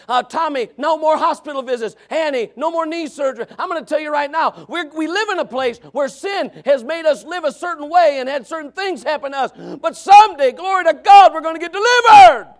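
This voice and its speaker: A man preaching a revival sermon, loud and shouting, with joking asides to the congregation. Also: very high-pitched. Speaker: pastor.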